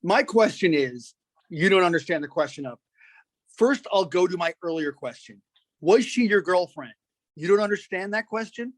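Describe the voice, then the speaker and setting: A man's voice: high voice, animated, moderate pace. A man playing a persistent lawyer who puts insistent, clipped questions to a witness in a dramatic reading. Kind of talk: dramatic reading of a deposition transcript.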